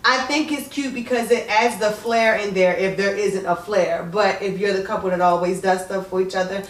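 Twenties woman, chatty and expressive, roomy sound, away from mic. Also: mid-range voice, normal speed, recorded from a distance, a little hiss or hum. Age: 20s. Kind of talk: casual group chat.

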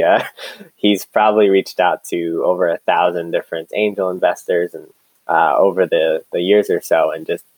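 A man with a very low-pitched voice talks as a startup co-founder in an interview. His delivery is casual and conversational, like someone recounting stories informally. The sound is dry, with no room echo.

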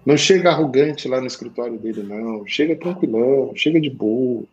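A man with a Portuguese accent coaching accountants on sales, blunt and slangy, swinging to loud emphasis. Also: medium pitch, fairly quick, highs cut off, a little hiss or hum. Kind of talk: live talk show advice.

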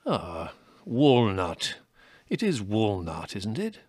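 A man's voice: lowish voice, huge pitch swings, takes it slowly, darkish tone, dry recording, clean studio sound, full character voice. A man voicing an aging chief rabbit in a storybook, drawling, over-polite, detached and condescending. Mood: gracious, vague. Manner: drawling, over-polite, unruffled, with musing repetitions.

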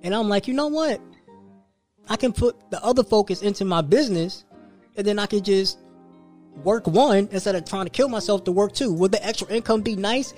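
A man's voice: high voice, a little fast, darkish tone, soft background music. A man in his thirties talking casually and profanely on a podcast, telling stories about work and his goals. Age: 30s. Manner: loose, profane, storytelling. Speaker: podcast host.

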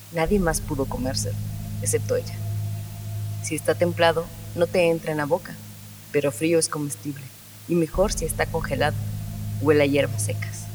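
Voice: mid-range voice, darkish tone, natural voice, low-quality recording. An actor playing a bitter, relentless officer interrogating suspects in a Spanish audio drama.

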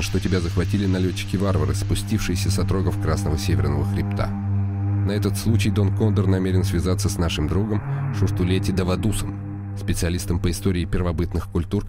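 A man's voice: sits low, close to an everyday voice. A man playing a weary, ironic nobleman in reflective inner monologue for a radio play. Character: weary, ironic, lonely nobleman, brooding inwardly. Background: music.

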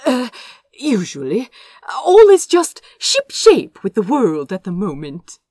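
A woman's voice: fairly high pitch, wildly swooping pitch, takes it slowly, mellow, no room sound, clean studio sound, pitched above the performer's own voice, full character voice. A woman voicing a fussy, cheerful, evasive young prince in an audiobook story, with a flustered, over-polite manner. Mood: flustered, chirpy. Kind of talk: fan fiction audiobook dialogue.

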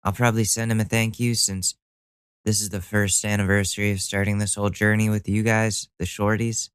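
A man's voice: lowish voice, flat delivery, brisk, clean tone, no room sound. A young man hosting a solo podcast, talking casually with a stuffy nose, rambling and joking at his own expense with the odd swear word. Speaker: podcast host.

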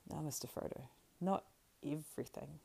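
A woman's voice: low voice, moderate pace, gravelly, warm tone, husky, exaggerated voice. A woman voicing a loyal, practical male hobbit servant from a storybook, deferential and homely in manner. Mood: earnest, reluctant. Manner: deferential, homely rustic phrasing.

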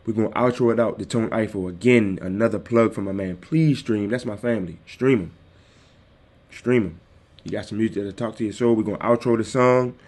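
Calm, low-voiced man hosting a podcast. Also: brisk. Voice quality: calm, soothing voice. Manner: easygoing, addresses listeners directly. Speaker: podcast host. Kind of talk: casual solo podcast talk. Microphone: desktop USB microphone.

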